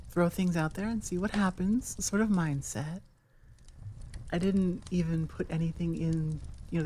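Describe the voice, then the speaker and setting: A woman's voice: on the low side, a little mellow, slight background noise. A woman creator of audio roleplays talking to her listeners in an informal, rambling, self-deprecating way. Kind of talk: casual unscripted chat.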